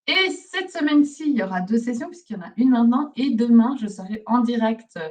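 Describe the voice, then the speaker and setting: A woman from Belgium with a fairly high voice hosts an informal teaching session. She explains things in a chatty, encouraging way, as a trainer would. The voice sits slightly away from the microphone. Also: animated, a little fast, a little mellow, distant mic. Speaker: host, pharmacist and aromatherapy trainer. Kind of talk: informal live question-and-answer teaching.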